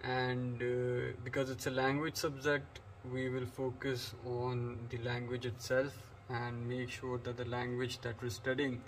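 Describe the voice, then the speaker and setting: A man's voice: medium pitch, measured pace, few pauses, a little hiss or hum. A man from Pakistan giving an unscripted English lesson, rambling with many fillers and restarts. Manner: flat delivery. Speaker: English teacher. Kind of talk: unscripted lecture.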